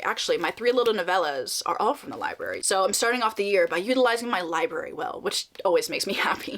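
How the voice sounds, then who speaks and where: A woman's voice: lowish voice, fairly bright tone. A young woman chatting casually through her reading vlog, upbeat and laughing often, sounding a bit nasal from a slight cold. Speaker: reading vlogger.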